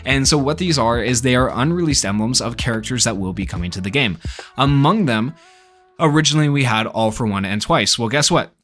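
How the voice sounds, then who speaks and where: A man gives casual gaming commentary in a voice of ordinary pitch for a male speaker. He talks informally and off the cuff, rambling from point to point like a YouTuber chatting to viewers. Faint music plays behind him. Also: animated, brisk, a bit bright. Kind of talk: casual video commentary on a game. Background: background music.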